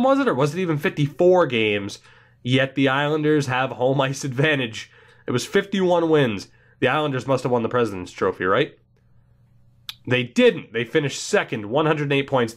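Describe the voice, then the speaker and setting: A young man hosting a gaming video, giving excitable, rambling let's play commentary on a hockey video game while congested from a cold. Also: medium pitch. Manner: excitable, rambling, thinks aloud.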